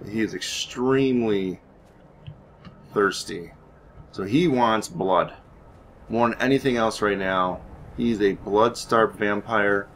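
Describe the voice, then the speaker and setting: Man, low voice, game commentary and storytelling, informal, explanatory, faint noise behind. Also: slow, fairly bright tone. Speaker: gaming series host. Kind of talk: roleplay let's play commentary with story narration.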